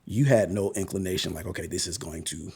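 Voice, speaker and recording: A man's voice: low-pitched, fairly quick, gravelly, mellow, slightly rough. A man hosting a casual podcast chat and putting questions to a guest. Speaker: podcast host.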